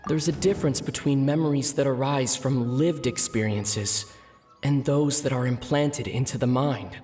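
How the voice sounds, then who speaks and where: A thoughtful young adventurer in a game acted by a man speaks at a middle pitch with measured composure. He sounds analytical and pensive over a bed of music. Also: darkish tone, close to an everyday voice. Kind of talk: video game voice acting. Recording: music behind the voice.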